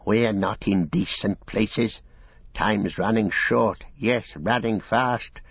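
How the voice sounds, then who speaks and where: Sneaky whispery hissing creature, way up high, begging over and over, guy doing the voice, husky, kinda lo-fi. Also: slow pace, no room sound, heavily acted. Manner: urgent, repetitive pleading. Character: sly, twitchy hissing creature, urgent and fawning. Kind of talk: audiobook character voice. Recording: dull, dry lo-fi recording.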